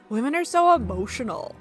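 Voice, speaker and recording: Woman acting a high-voiced young job applicant. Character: female college senior job applicant, turning brash bro. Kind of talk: radio play acting.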